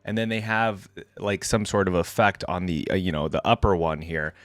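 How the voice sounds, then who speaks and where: A man's voice: deep voice, steady tone, normal speed, dry recording. A man hosting a reaction video, giving casual, conversational commentary and thinking aloud. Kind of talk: casual commentary on game voice lines.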